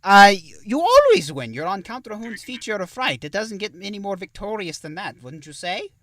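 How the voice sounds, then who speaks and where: A man's voice: sits high, big pitch swings, moderate pace, fairly bright tone, no echo, recorded from a distance. A man playing a genial, self-important thousand-year-old vampire who hosts an interview show in a theatrical, effusive manner. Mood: genial, playful. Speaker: show host. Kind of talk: in-character interview hosting.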